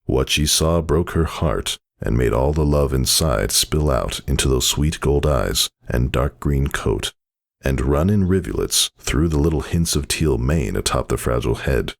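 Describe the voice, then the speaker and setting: Very deep, gravelly man narrating dramatically. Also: expressive, measured pace.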